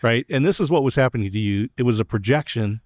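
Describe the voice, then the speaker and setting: A man's voice: medium pitch, animated, quick pace, a little mellow, dry recording, clean studio sound. Thoughtful male interview host. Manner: thoughtful, leads the questions. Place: stadium club room.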